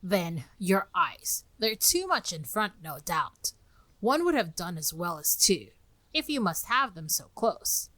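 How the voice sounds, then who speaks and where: A woman voicing an old gray male wasp in a yellow wig, querulous and blunt, with rustic, uneducated turns of speech in a dramatic storybook reading. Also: lowish voice, sing-song, slow, dry recording, heavily acted. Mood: blunt, critical.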